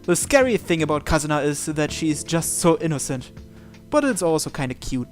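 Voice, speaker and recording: A man with a fairly high voice reads a visual novel aloud. He moves between narration and acted dialogue, with music playing underneath. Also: very animated, brisk. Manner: acts out the protagonist's lines. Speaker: video host reading a visual novel aloud. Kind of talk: dramatized reading of narration and dialogue.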